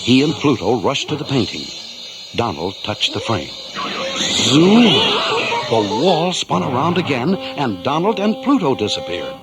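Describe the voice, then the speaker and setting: A man's voice: medium pitch, wildly swooping pitch, measured pace, poor audio. A man giving dramatic scripted narration of a children's story over music.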